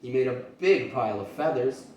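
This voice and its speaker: Male storybook reader, kindergarten teacher style, mid-pitched, echoey room. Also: lively intonation, normal speed, a little mellow. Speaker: kindergarten teacher reading aloud. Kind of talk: expressive children's storybook read-aloud. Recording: roomy, distant mic, faint noise.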